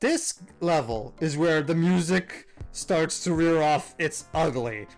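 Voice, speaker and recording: A man's voice: fairly high pitch, animated, slow, faint music behind. A man hosting a let's play, talking casually and explaining at length with lots of fillers and restarts. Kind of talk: casual gameplay commentary.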